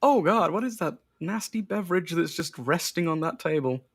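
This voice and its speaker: A man co-hosting a casual film watch-party, jokey and quick to laugh. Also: on the high side, vocal fry, slightly rough. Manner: animated, jokey, laughs often. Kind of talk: casual film commentary chat.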